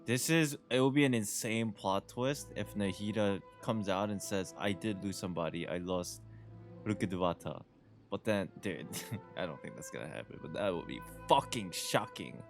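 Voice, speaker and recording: A young male streamer with a fairly low voice gives casual playthrough commentary. He is slangy and reactive, with soft game music underneath. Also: normal speed.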